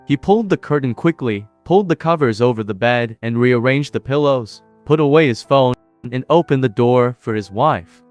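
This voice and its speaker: A man reads a dramatic story in an even, steady voice-over. The sound is dry and close, and soft music plays underneath. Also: mid-range voice, normal speed, dry recording. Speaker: narrator. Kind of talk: scripted story narration.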